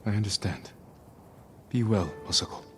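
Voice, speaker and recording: Low voice, young samurai nobleman, man acting, grave, restrained, measured, video game cutscene, loud score behind. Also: lively intonation, soft background music, lightly acted. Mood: quiet sorrow.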